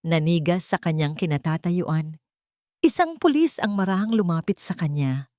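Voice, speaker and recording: Low-voiced woman narrating a dramatic story. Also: animated, darkish tone, no echo, clean studio sound. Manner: deliberate, suspenseful storytelling with short dramatic phrases. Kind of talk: dramatic scripted narration of a romance thriller story.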